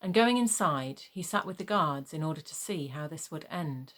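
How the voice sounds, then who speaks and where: A woman narrating a dramatised Bible reading in a measured, formal storytelling manner. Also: low-pitched, animated, a bit bright.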